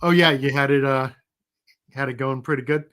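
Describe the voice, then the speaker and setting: A man's voice: medium pitch, steady tone, normal speed, fairly bright tone. A middle-aged man with a Rhode Island accent hosting a casual live chat, reading out viewer comments as he goes. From New England. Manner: chatty, reads out viewer comments. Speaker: livestream host.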